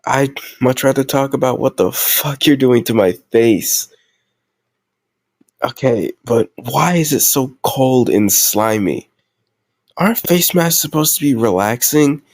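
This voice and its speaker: A man's voice: medium pitch, unhurried, dry recording, studio quality. A man playing a tough gang leader who is a playful, doting boyfriend in an intimate roleplay audio, teasing and mock-grumbling affectionately.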